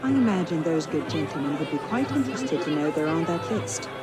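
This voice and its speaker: A woman's voice: deep voice, expressive, a little fast, over loud music. A woman playing a shrewd, assured young conspirator in a video game set in revolutionary France. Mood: scheming, assured. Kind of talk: cinematic video game acting. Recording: noisy outdoor crowd behind.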